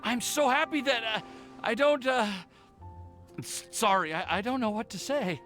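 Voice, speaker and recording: A man's voice: very high-pitched, animated, moderate pace, fairly bright tone, faint music behind. A man voicing a flustered, stammering young man in love in a video game. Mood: nervous joy.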